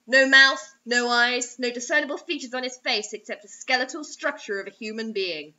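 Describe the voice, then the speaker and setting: Theatrical young woman, high bright voice. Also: normal speed. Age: young adult. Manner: theatrical, overwrought. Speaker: co-host reading bad fiction aloud. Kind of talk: dramatic mocking read-aloud with asides. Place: small indoor room. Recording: dull lo-fi webcam sound.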